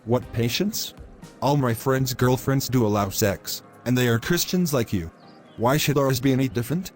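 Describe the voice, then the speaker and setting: A man's voice: medium pitch, moderate pace, soft background music, natural voice. A man playing a pushy, selfish young boyfriend in a scripted moral story, speaking in formal, wordy, insistent phrases. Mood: insistent, aggrieved.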